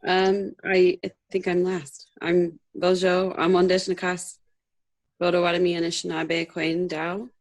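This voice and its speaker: A woman giving a measured, deliberate introduction on a video call. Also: on the low side, little pitch movement, no echo. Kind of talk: formal introduction.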